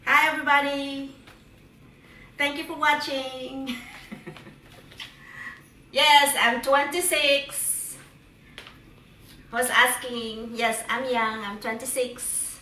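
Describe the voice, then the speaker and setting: A woman's voice: high-pitched, steady tone, slow pace, long pauses, bright, forceful tone, slight background noise. A middle-aged woman cheerfully demonstrating a home recipe in a casual, joking way, recorded from across her kitchen. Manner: playful, jokey, cheery "ta-da" exclamations. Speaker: home cook vlogger. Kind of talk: casual cooking demo. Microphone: far camera. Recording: roomy kitchen echo, distant mic, faint kitchen clatter.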